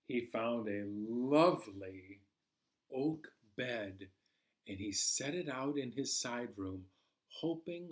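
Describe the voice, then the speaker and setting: A man telling a children's story as a library storyteller, dramatically, with pauses and drawn-out words. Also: medium pitch.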